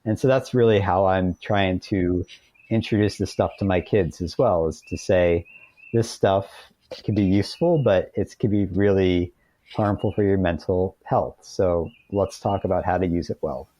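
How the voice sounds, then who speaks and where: A man's voice: low-pitched, moderate pace, mellow. A middle-aged American man speaking as a guest author in a conversational interview, explaining things earnestly. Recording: dull lo-fi call audio, roomy echo.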